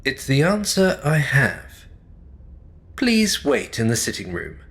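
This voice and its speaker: An actor playing an inscrutable manor servant in an audio drama, formal, deliberate and ominously polite. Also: male voice, medium pitch, very animated, a bit bright.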